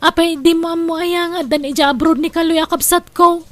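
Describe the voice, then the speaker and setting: A woman plays a scheming wife with a high, bright-toned voice. She wheedles and pushes, always angling for another loan. The acting is plain and everyday, as in a spoken audio drama. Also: steady tone, brisk, no echo. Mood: wheedling. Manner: wheedling, pushy. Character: scheming wife, a grown woman who keeps borrowing money. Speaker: lead character Amy.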